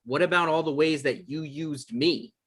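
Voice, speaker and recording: A man's voice: on the high side, moderate pace, slightly rough. A man playing an evasive, defensive guy in a table read, stammering and hedging in an awkward conversational way. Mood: indignant. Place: online live stream table read.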